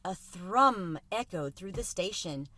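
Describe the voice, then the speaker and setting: Lively, bright-voiced woman reading a storybook. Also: fairly low pitch, takes it slowly. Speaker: read-aloud storyteller. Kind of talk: expressive children's book narration.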